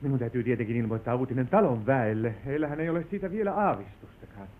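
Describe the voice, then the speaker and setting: A man's voice: mid-range voice, lively intonation, natural voice. An actor playing an exuberant, tender country landowner who is a new father, in old Finnish radio play style, effusive and sentimental. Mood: jubilant, excited. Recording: narrow, tinny old radio broadcast.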